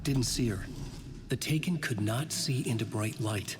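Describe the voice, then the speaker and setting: A man playing a brooding writer who narrates a dark thriller manuscript gravely in a video game, with a reverb effect. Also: medium pitch, moderate pace. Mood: grave, ominous. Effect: long reverb. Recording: voice in long echoing reverb.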